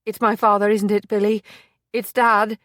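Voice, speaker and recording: A woman voicing a determined woman private investigator, speaking in a measured, formal, resolute way. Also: medium pitch, expressive, darkish tone, dry recording, clean studio sound, voice pushed up, lightly acted. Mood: alarmed.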